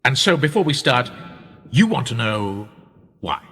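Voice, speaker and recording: A wealthy upper-class British businessman, played by a male actor in a radio play. His voice is husky and swings widely in pitch as he poses wry, gentlemanly questions. He is urbane and self-mocking, heard in a very reverberant space. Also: very animated, measured pace, soft background music, exaggerated voice. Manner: urbane, wry, rhetorical, gentlemanly formal speech. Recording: reverberant room with long echo.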